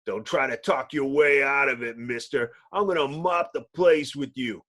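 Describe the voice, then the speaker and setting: A performer voicing a gruff, hot-tempered man turning into a monster, blunt, sneering and belligerent, in a dramatic comic-book reading. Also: mid-range voice, normal speed, a bit bright, pitched below the performer's own voice, close to an everyday voice.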